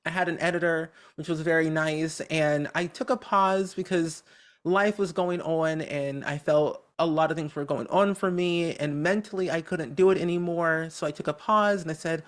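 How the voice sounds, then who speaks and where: An upbeat, talkative content creator chatting casually as a podcast guest, with a naturally high voice that has a medium tone. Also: steady tone, moderate pace. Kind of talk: casual interview chat.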